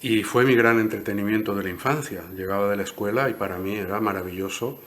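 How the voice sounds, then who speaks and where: Hombre cubano de voz grave y pausada. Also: male voice, lowish voice, a bit bright. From Cuba. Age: 60s. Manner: thoughtful, frequent "¿no?" tags. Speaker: interview guest, poet. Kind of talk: reflective interview answers.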